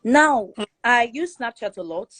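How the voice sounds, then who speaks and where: A woman with a Nigerian accent giving her opinion forcefully in a live chat room, repeating her points for emphasis. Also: a little high, lively intonation, unhurried, no room sound. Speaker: guest speaker in a live audio chat room. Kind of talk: opinionated casual commentary.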